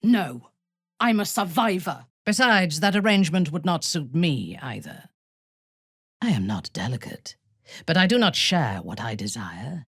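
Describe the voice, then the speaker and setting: An actress playing an imperious, ruthless female drow commander in a video game, haughty and measured, with menace and seduction. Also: low-pitched, lively intonation, fairly bright tone, husky, dry recording, clean studio sound, heavily acted. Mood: proud, firm. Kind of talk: scripted video game voice acting.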